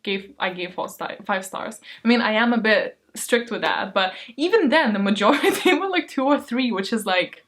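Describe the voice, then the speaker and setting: A woman talking casually to camera as a book vlogger, rambling, expressive and self-deprecating, with a giggly, emphatic way of talking. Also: a little high, moderate pace, few pauses. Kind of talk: casual chatty vlog to camera.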